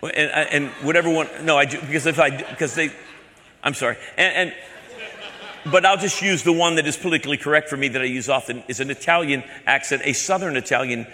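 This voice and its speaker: A man's voice: fairly high pitch, a little fast, sharp tone. A male pastor from the American South preaching a sermon with repeated, building phrases. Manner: preaching with repetition and building emphasis. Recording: echoey hall with long reverb.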